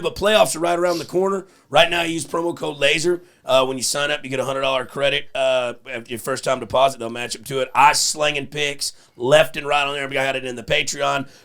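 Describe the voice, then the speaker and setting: Gravelly crude-joking podcast host. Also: male voice, fairly high pitch. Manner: loud, crude, joking, reads sponsor plugs. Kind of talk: crude casual podcast banter.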